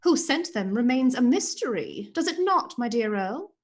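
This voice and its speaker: A woman's voice: on the high side, sing-song, moderate pace. An actress playing a haughty, scheming princess in a radio play, mocking and silky in her charm. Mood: sly.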